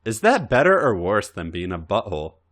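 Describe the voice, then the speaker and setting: A smug, controlling male lover in a read-aloud story, acted by a man. He is wry and articulate, teasing with measured phrases and very expressive swings of pitch.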